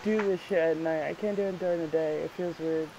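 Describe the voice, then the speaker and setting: A woman whose first language is Spanish, chatting casually on a vlog, animated and quick to swear and laugh. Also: low voice, muffled recording, noisy background. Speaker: vlog co-host. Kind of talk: casual chat.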